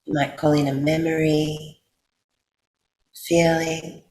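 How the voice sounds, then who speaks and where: A woman with an Australian accent guides a sleep meditation in a soothing, unhurried way, leaving long pauses. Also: deep voice, little pitch movement, warm tone. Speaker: yoga nidra guide. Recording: roomy echo, faint background noise.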